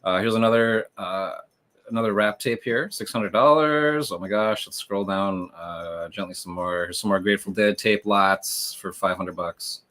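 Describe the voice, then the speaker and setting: Male livestream host, on the low side, conversational explainer with a hesitant, meandering flow. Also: moderate pace, sharp tone.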